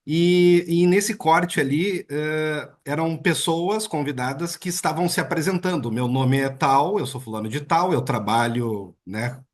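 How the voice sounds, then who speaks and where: Guy hosting an interview, husky-ish voice, keeps saying né, laid back. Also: on the high side. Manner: relaxed, chatty, with many filler "né"s. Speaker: interviewer and host. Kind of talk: casual interview conversation.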